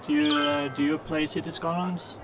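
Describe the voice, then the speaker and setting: A man whose first language is Norwegian, chatting casually and haltingly with viewers while streaming a game. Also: a little high, level tone, music in the background. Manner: halting, many fillers, greets chatters by name. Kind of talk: casual live-stream chat.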